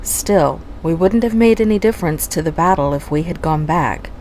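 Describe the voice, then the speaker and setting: A woman's voice: sits low, expressive, moderate pace, a little mellow, slight background noise, low-quality recording. A woman playing a defiant, sarcastic fighter pilot who argues with her commander in a fan audio drama. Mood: sardonic. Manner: sarcastic, argumentative.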